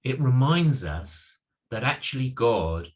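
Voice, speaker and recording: Middle-aged man, sermon delivery, earnest, emphatic repetition, lively swings in pitch. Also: takes it slowly. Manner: earnest, emphatic, repeats key points for weight. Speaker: preacher at a church. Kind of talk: sermon, reflecting on a Bible passage.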